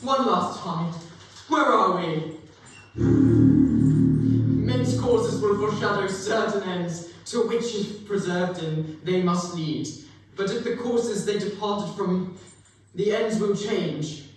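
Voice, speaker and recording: Young man playing high-voiced pleading Scrooge, very echoey. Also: slow pace, music in the background. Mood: anxious, pleading. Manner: theatrical, pleading, old-fashioned formal delivery. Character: Scrooge, frightened repentant old miser pleading for redemption. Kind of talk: dramatic reading on stage. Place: live performance in a reverberant museum room. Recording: very echoey hall, distant mic, faint noise.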